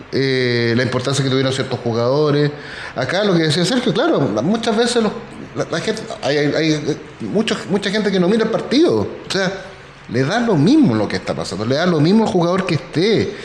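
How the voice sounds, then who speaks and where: A man's voice: a little high, very animated, normal speed. A man hosting a casual football talk, with many fillers. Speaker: podcast host. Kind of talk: casual chat. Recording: echoey room with faint noise.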